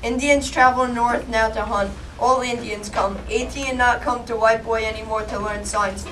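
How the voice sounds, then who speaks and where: A woman's voice: on the high side, fairly even intonation, normal speed, distant mic, background noise, natural voice. A woman voicing an insistent old Native grandfather who speaks clipped broken English.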